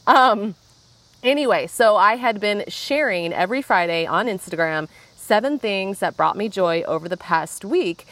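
A woman's voice: medium pitch, animated, measured pace, fairly bright tone, dry recording. A young woman hosting a casual solo podcast, chatting and rambling from her backyard while getting over a cold with a lingering cough. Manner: chatty, rambling, frequent "like" and asides. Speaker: podcast host. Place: outdoors in a backyard.

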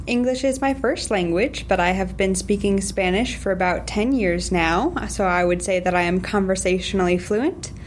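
A woman's voice: medium pitch, normal speed, few pauses, slight background noise. A woman library associate speaking in an articulate, formal way in a panel discussion.

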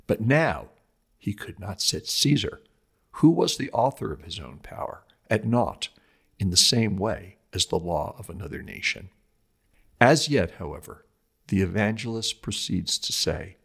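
A man reading a scripted religious commentary like a lecture, with emphatic, measured phrasing and dramatic stress on scripture quotations. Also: fairly low pitch, a bit bright, slightly rough.

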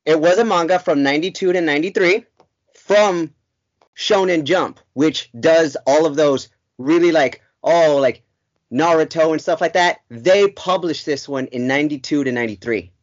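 Male guest, somewhat high pitch, relaxed explainer, lo-fi. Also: normal speed. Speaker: podcast guest. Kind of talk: casual chat.

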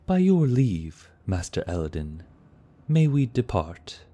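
A man's voice: fairly low pitch, very animated, takes it slowly, warm tone, voice pushed up, heavily acted. A man voicing a stern, loyal male warden who speaks in a formal, clipped, confident way.

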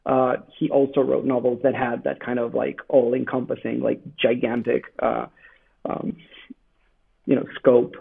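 A man's voice: mid-range voice, little pitch movement, unhurried. Italian man on a tinny line. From Italy. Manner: hesitant, many fillers and restarts. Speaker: novelist guest. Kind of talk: casual interview talk. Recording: gravelly phone-line call.

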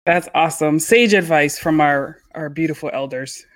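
A man hosting a podcast in casual chat, upbeat and animated, with lots of fillers. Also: a little high, normal speed, dry recording. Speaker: podcast host.

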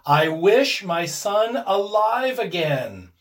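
A shaken old man , acted by a male narrator, tender at heart but aghast. He falters and hesitates, with raised pitch and lively swings of tone. It sounds like dramatic storybook acting. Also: takes it slowly, full character voice. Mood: fearful. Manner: unsteady, hesitant, stammering.

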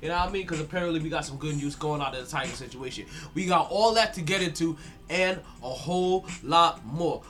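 A man's voice: a little high, brisk, a bit bright. A man hosting a sports podcast in a hyped, slangy way, a bit stuffy-nosed from allergies and repeating his words. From South Florida. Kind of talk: casual sports talk.

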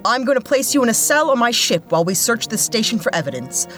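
A hard-nosed female detective from a space radio drama. She is curt and bossy with a dry, sardonic edge, and her pitch moves a lot. Faint music sits behind her. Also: very animated, fast talker, fairly bright tone. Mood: stern. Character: tough, blunt, sardonic female private investigator. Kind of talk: sci-fi audio drama acting.

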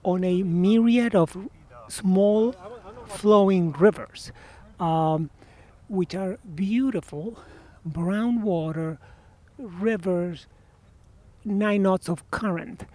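A man in his sixties with an Argentine Spanish accent, a guest telling stories in a casual interview outdoors by a marina, animated and often trailing off to restart his phrases. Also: high-pitched, extremely slow. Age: 60s.

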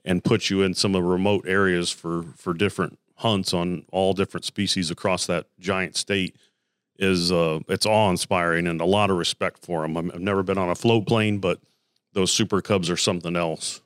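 A man hosting a casual interview podcast, relaxed and admiring as he reacts to his guest. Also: low-pitched, moderate pace, dry recording, studio quality. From Arizona. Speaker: podcast host.

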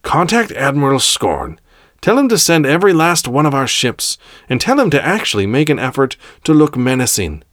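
A man's voice: mid-range voice, big pitch swings, normal speed, no room sound, studio quality, voice pushed up. A man voicing a domineering, impatient female Grand Master of a space order in a story, barking commands. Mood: commanding. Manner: commanding, shouting.

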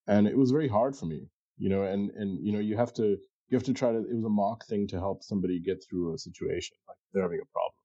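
A middle-aged man, a pathologist, talking reflectively and casually as an interview guest, haltingly repeating words as he thinks aloud. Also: fairly low pitch, dark tone, slightly rough, dry recording, highs cut off.

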